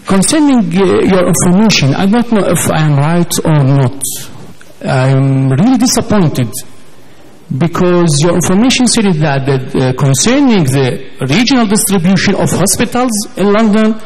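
A man's voice: high-pitched, big pitch swings, moderate pace, a little mellow. A man from Iraq, not an English speaker, asking a hesitant question from the floor of a large conference hall. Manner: hesitant, many fillers and restarts. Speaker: audience member asking a question.

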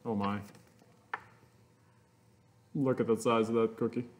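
A man's voice: medium pitch, fairly even intonation, measured pace, darkish tone. A man reading a children's picture book aloud in a theatrical way, drawing out words and playing with sound effects. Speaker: storybook reader.

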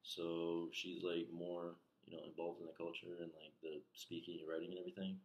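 A young man from Iran, whose first language is Farsi, talks in a very low voice. He answers slowly and hesitantly, with lots of fillers. His voice bounces around a small, echoey bedroom. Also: level tone, lo-fi sound. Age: young adult. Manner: hesitant, many fillers like "like" and "you know". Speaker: interviewee. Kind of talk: casual interview. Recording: echoey room.

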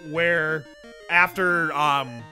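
High, bright, boisterous young-sounding man. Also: extremely slow. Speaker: gaming show co-host. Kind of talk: casual group let's play banter. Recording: music in the background.

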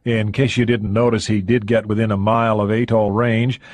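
A gruff American air force colonel, voiced by a male narrator, grumbles in short, clipped lines. The voice sits low and a little husky, recorded dry and close. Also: little pitch movement, moderate pace, darkish tone, no room sound, lightly acted. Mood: grumpy. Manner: grumbling, curt.

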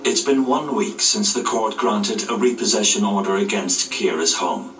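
A man delivers scripted documentary narration in a low voice. The sound is roomy and a little distant, like a television re-recorded, with faint music behind him. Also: fairly even intonation, normal speed.

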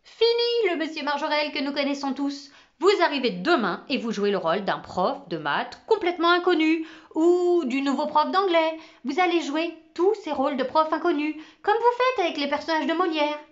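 A woman voicing an excitable schoolboy who leads his class, talking urgently and loudly in a storybook reading. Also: a little high, measured pace. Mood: excited. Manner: urgent, pleading, loud. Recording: slightly muffled recording.

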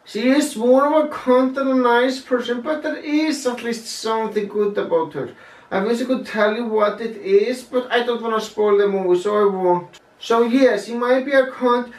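A young man giving a casual, blunt movie review, swearing freely as he talks it through. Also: high-pitched. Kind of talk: casual spoken film review. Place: small plain room indoors, seated. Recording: roomy, mic at a distance.

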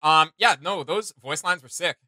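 A man's voice: on the high side, normal speed, bright, forceful tone, no echo, clean studio sound. A young man giving casual commentary as host of a reaction video. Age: young adult. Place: small indoor home studio room.

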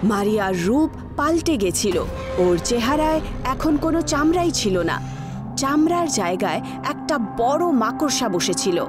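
A woman narrates a horror tale dramatically, in a fairly high voice. Her pitch moves in lively swings over clearly audible music. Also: unhurried.

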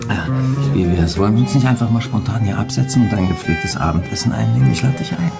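A man's voice: fairly low pitch, fast talker, creaky, loud music behind the voice. An actor playing a world-weary, womanizing police detective in a radio crime drama, wry, dry and conversational. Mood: casually charming. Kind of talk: German radio crime drama acting with inner monologue.

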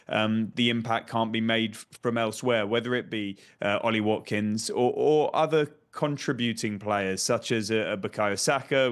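A man's voice: on the low side, fairly bright tone. A man in his thirties, a football correspondent, speaking carefully with many ums over a video call at a desk mic. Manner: measured, hesitant with ums and repeated words. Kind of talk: remote studio discussion. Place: home room. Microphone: desk microphone on video call.

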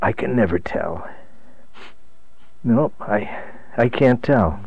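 An actor playing a weary, gentle, migraine-stricken husband in a radio drama, speaking haltingly, in a slightly dull recording. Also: male voice, lowish voice, expressive, gravelly, breathy edge, no echo, heavily acted. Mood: weary, tender.

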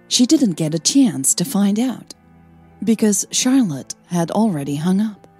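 Slow, mellow woman narrating fiction. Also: fairly low pitch, husky. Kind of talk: scripted audio fiction narration.

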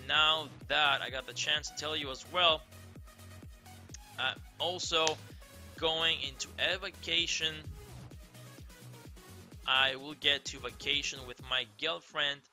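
A man's voice: fairly high pitch, pushed and bright. A young man from Greece with a Greek accent, chatting loosely with viewers on a game live stream, full of fillers and "crazy". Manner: loose and rambling, many fillers, keeps saying crazy. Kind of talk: casual live stream chat, reading viewer comments. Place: indoor room, seen on webcam.

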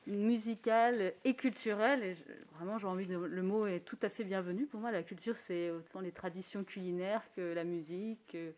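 A woman musician speaking in a thoughtful, earnest way during a radio conversation, sometimes laughing softly. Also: mid-range voice. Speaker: musician guest. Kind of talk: reflective conversation.

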